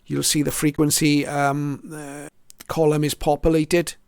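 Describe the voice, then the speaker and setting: A mature man presents his hobby collection in a casual, reflective way. His voice sits a little high and stays even in pitch, with a clean, smooth tone. He moves through items one by one, often hesitating as he goes. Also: a little slow, no room sound, clean studio sound. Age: middle-aged or older. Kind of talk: casual show-and-tell narration.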